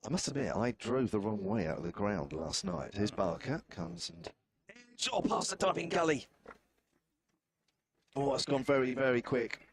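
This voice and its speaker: A man's voice: mid-range voice, lively intonation, normal speed, gravelly, crowd noise. Chatty man with a southern English accent. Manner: chatty, wry, self-deprecating. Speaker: cricket commentator. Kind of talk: live ball-by-ball cricket commentary. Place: outdoor commentary position at a cricket ground.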